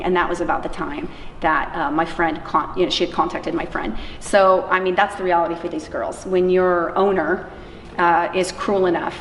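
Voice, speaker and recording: Female interviewee, lowish voice, informal storyteller, distant roomy recording with faint noise. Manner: informal, acts out quoted dialogue. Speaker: interviewee, anti-trafficking worker. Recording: echoey room from far off, faint noise.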